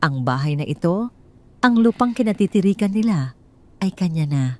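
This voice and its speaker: Female storyteller, low and mellow, for dramatic scripted narration of audio drama. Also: lively intonation, moderate pace.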